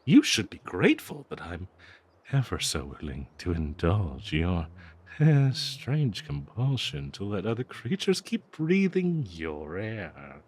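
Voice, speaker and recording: A man acts out a cursed talking dagger, male, obsessively in love and thirsty for blood. The voice is low and unhurried, swinging widely in pitch as it dotes on the listener with theatrical menace. It is an intimate audio roleplay monologue, full of halting, savored phrases. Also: big pitch swings, darkish tone, breathy edge, exaggerated voice. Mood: indulgent, condescending. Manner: slow, theatrical, doting yet menacing, halting with hesitations.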